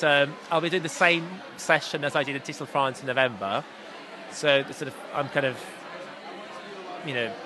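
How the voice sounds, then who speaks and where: A man who presents at a teaching conference, talking loosely in an interview and hedging with "sort of" and "like". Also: fairly high pitch. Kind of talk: casual interview answers. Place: busy conference venue. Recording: crowded hall chatter.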